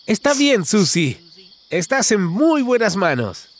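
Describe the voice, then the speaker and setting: A man's voice: high-pitched, sing-song, slow, background noise. A man narrating a children's cartoon in an exaggerated, singsong way, talking to the characters.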